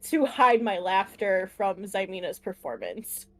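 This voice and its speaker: A woman's voice: medium pitch, expressive, a little slow, faint music behind. A woman chatting casually and playfully as a player in a tabletop roleplaying game.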